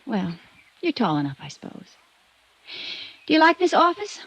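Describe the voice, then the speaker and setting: A woman's voice: high-pitched, wildly swooping pitch, moderate pace, fairly bright tone, full character voice. A pouting rich girl. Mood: teasing. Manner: coy and teasing, turning defensive. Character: spoiled rich young woman, pouting, evasive. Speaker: rich horse owner's daughter. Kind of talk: 1950s radio drama acting. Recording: dull dry vintage radio recording.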